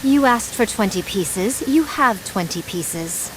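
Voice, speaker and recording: A woman's voice: mid-range voice, animated, takes it slowly, slight background noise, low-quality recording. A woman voice-acting a proud, grave swordswoman in a video game, speaking in formal, archaic phrases.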